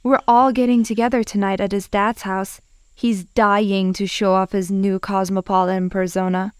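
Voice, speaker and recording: A woman's voice: medium pitch, flat delivery, moderate pace, clean tone, no echo, studio quality, natural voice. A young woman acting in a comic dub as a chatty, caring friend, with natural conversational delivery. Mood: upbeat. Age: young adult.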